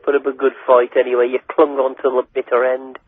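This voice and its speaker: Phone-in listener, young man, casual halting talk, narrow dry telephone sound. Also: mid-range voice, monotone, slightly rough, no room sound. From England. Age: young adult. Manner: hesitant, repeats words and adds "like" and "you know". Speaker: radio listener giving his reaction by phone. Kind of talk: casual chat. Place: phone call. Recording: tinny, dry phone line.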